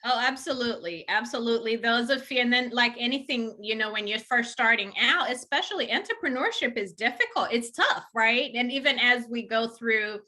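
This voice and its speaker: A woman's voice: on the high side, fairly quick, few pauses, pushed and bright. A woman from Rwanda and Uganda, a home organizer, talking enthusiastically in a casual interview with many fillers. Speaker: professional organizer, guest.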